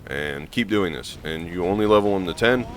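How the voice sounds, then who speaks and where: A hoarse man with a gravelly voice, rambling casually through gameplay commentary with lots of ums and restarts. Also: low voice, lively intonation, fairly quick, a little hiss or hum. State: hoarse from allergies. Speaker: gaming channel host.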